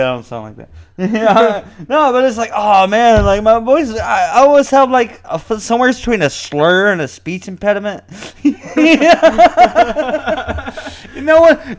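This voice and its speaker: A man's voice: very high voice, lively intonation, a little slow, lo-fi sound. A man co-hosting a podcast in casual banter, rambling, laughing often and swearing freely. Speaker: podcast co-host.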